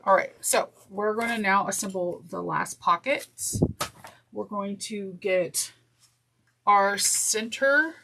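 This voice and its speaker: Woman, nasal and stuffed up, gravelly texture; chatty, self-correcting craft teacher; clear background noise. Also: mid-range voice, slow. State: congested. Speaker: sewing instructor. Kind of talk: step-by-step craft tutorial. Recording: noisy with clicks.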